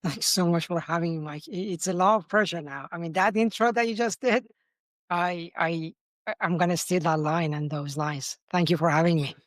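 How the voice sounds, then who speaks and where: A man's voice: on the high side, normal speed, a bit bright, dry recording, studio quality. Iranian-accented man, enthusiastic founder guest. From Iran. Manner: enthusiastic, frequent restarts and fillers. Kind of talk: podcast interview.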